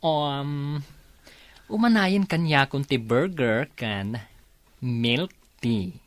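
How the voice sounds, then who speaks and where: A woman's voice: rumbling low, lively intonation, extremely slow, no echo, exaggerated voice. A woman playing a teasing, watchful companion in an Ilocano radio drama, with an Ilocano accent. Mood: excited, coy.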